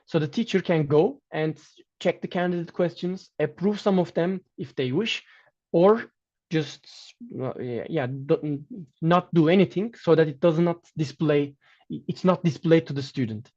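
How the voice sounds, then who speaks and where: Guest lecturer, male, Turkish native speaker, fairly high voice, measured delivery with restarts, dull lo-fi sound. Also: a little mellow. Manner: measured, with frequent restarts and fillers. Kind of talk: online academic lecture with slides.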